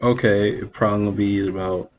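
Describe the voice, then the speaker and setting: A man's voice: fairly low pitch, steady tone, fairly quick, a crowd in the background. A man giving casual, wry, joking commentary as he plays through a video game. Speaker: gaming video maker. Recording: dull mic, game music behind.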